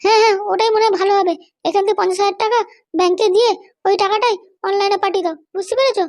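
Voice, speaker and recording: A man voicing a bossy, short-tempered middle-aged cartoon wife with a West Bengal accent and a pitched-up voice. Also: steady tone, rapid-fire, a little mellow, no echo. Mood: insistent. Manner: scolding, threatening. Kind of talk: comic cartoon dialogue.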